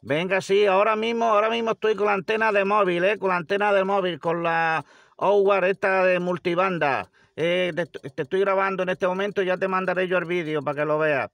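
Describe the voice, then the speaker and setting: High-pitched Spanish man, close dry sound. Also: fairly even intonation, fairly quick, gravelly, fairly bright tone, no room sound. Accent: Spanish from Spain. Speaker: amateur radio operator. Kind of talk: casual ham radio contact. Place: inside a parked car.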